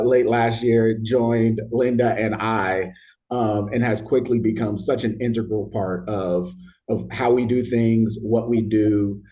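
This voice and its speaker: A man hosting a podcast interview, talking in a halting way with many restarts and repeated words. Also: on the low side, darkish tone. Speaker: podcast host. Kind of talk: conversational interview.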